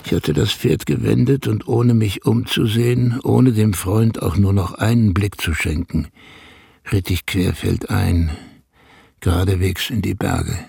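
A regretful shepherd recounting his past in a radio play. His low voice is extremely husky and very creaky. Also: fairly even intonation, measured pace, a little mellow, dry recording, clean studio sound, full character voice. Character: shepherd looking back with regret on a parting. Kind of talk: first-person radio drama narration.

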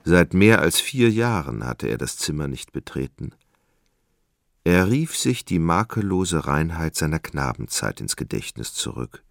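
A man with an extremely deep, creaky voice narrates a literary radio drama. His delivery is measured and solemn, recorded dry with no room sound. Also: big pitch swings, no room sound.

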